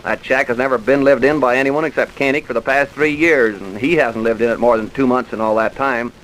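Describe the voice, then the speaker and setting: A man's voice: mid-range voice, brisk, fairly bright tone, natural voice, low-quality recording. A man playing an earnest, dogged police trooper in an old-time radio drama, speaking in a clipped, emphatic way. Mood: glum, determined. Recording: hissy vintage radio recording.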